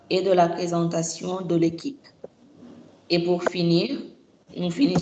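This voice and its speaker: A woman's voice: on the low side, darkish tone, echoey room, slight background noise, low-quality recording. A woman moderating a business webinar over a video call, speaking in a formal, polite and structured way. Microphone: video call microphone.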